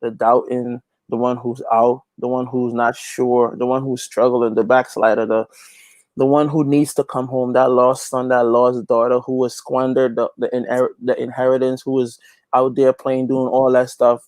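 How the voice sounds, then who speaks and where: A man's voice: medium pitch, little pitch movement, normal speed, darkish tone, no echo. A man from Guyana, talking as an interview guest in an earnest, emphatic, preacher-like way, repeating phrases for emphasis. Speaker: interview guest, gospel reggae singer and youth pastor. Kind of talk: conversational interview, preaching-like testimony.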